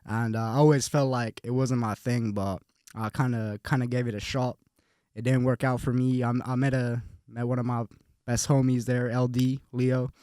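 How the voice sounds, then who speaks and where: A man's voice: mid-range voice, darkish tone, clear, resonant tone, no echo, clean studio sound. A young man from Finland talking as a podcast guest in a laid-back, casual way, often repeating words as he goes. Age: young adult. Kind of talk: casual conversation.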